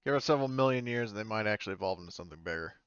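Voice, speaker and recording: A dry, slightly muffled recording of a man with a smooth, clean voice. He is a game master improvising descriptions, tentatively rewording as he goes. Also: lowish voice, expressive, very fast, fairly bright tone, no echo. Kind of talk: improvised tabletop roleplay narration.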